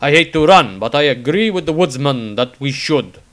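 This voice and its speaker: A male narrator plays a fierce foreign warrior in a fantasy tale. The delivery is loud and forceful, in terse, halting phrases with broken grammar. The pitch is raised and swings widely. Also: lively intonation. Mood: grim resolve.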